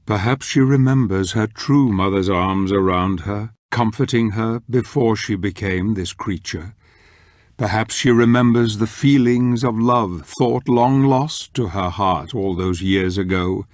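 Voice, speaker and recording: A man playing a grave, wistful narrator of a dark video game tale, measured and theatrical. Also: fairly low pitch, no echo, studio quality, lightly acted. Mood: brooding. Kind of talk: scripted dramatic narration.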